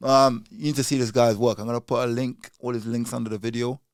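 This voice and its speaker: A man's voice: medium pitch, little pitch movement, fast talker, no room sound, clean studio sound. A man hosting a podcast, interviewing his guest in a laid-back, encouraging way. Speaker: podcast host.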